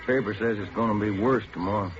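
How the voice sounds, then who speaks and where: Male actor as detective sidekick: low, low-key, grumbling and laconic, dull vintage radio drama sound. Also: fast, a crowd in the background, natural voice. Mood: weary grumbling. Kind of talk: naturalistic 1950s radio acting.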